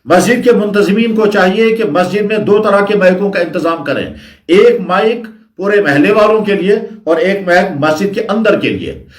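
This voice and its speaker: A man's voice: high voice, moderate pace. A man giving an instructional Islamic sermon in a didactic way, repeating phrases for emphasis. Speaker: Islamic preacher. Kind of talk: sermon, instructional religious talk. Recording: echoey, reverberant room.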